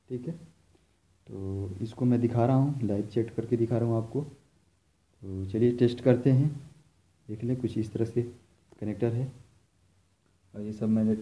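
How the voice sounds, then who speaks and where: A man's voice: medium pitch, mellow, poor audio. A man giving an informal hands-on tutorial as an electrician, explaining step by step and often repeating himself. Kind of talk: informal hands-on product demonstration. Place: indoors, filming equipment on the floor.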